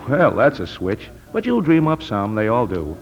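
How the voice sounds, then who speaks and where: An actor playing a brisk, genial military sergeant, chatty and matter-of-fact, in 1940s radio drama style. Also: male voice, medium pitch, wildly swooping pitch. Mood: businesslike. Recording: muffled 1940s radio broadcast.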